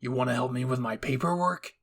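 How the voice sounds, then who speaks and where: A man's voice: medium pitch, a little fast, fairly bright tone, husky. A man voicing a stern, grumpy military captain with curt, cold replies in an audio fan fiction story.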